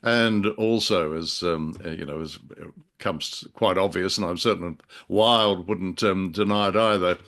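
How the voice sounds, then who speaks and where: A man co-hosting a conversational discussion, speaking in halting, wordy phrases. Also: deep voice, fairly bright tone. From Australia. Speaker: podcast co-host. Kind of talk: conversational discussion of classic radio drama.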